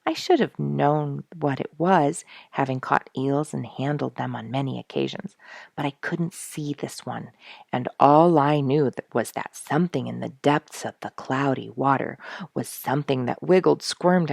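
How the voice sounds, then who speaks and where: A woman with a low, slightly creaky voice reads a story aloud. Her delivery is expressive and dramatic, like storybook narration, and the sound is close and dry. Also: measured pace, no room sound. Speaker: storyteller reading a book chapter aloud.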